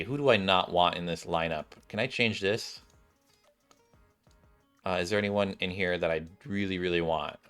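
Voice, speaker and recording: A man's voice: sits low, a bit bright, clear, resonant tone. A man hosting a gaming video, giving casual, chatty commentary addressed straight to his viewers. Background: game music.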